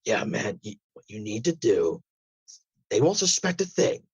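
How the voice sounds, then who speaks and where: Guy putting on a raspy stoner surfer bro, chummy and paranoid, drawls, pitch all over the place. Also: big pitch swings, unhurried, heavily acted. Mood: chummy, salesy. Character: laid-back, paranoid long-haired stoner surfer dude. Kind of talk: improvised comedy role-play.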